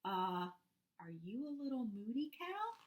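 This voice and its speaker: Woman voicing a high-pitched exasperated storybook mother cow. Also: sing-song, measured pace, a little hiss or hum. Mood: concerned. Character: exasperated mother cow scolding her child.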